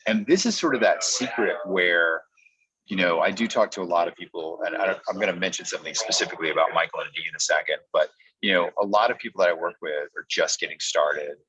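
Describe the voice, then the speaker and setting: A man's voice: fast talker, a crowd in the background. A man giving a conversational training talk as a coach, explaining things step by step with example lines. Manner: explanatory, acts out example pitches in quotes. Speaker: podcast coach. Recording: dull, muffled lo-fi audio.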